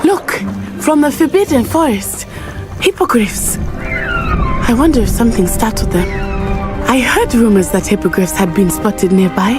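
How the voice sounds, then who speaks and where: A woman's voice: fairly high pitch, wildly swooping pitch, unhurried, long pauses, loud music behind the voice. A woman voicing a friendly, adventurous teenage schoolgirl witch in a video game, speaking in careful, formal phrasing. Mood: curious, animated. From grew up abroad, in a land without snow.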